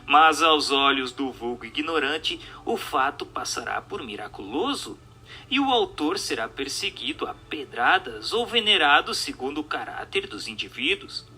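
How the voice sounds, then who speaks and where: A man reading a formal book as scripted narration, in a measured, didactic and emphatic manner. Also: a little high, sing-song, bright, forceful tone. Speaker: narrator, audiobook reader. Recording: music bed under the voice. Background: music.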